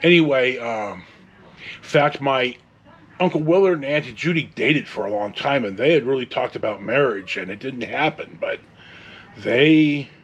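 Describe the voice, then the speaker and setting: A man's voice: mid-range voice, animated, normal speed, husky, dull audio. A man in his sixties with a Californian American accent, telling a casual, meandering story as host, with digressions and mild swearing. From California, United States. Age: 60s. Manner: meandering, digressive, mildly profane.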